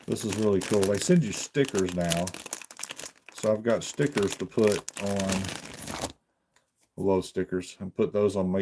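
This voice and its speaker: Male unboxing host, deep register, casual and halting, thinks aloud; slightly noisy recording with packaging crinkles. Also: a little mellow. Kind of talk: casual product unboxing commentary.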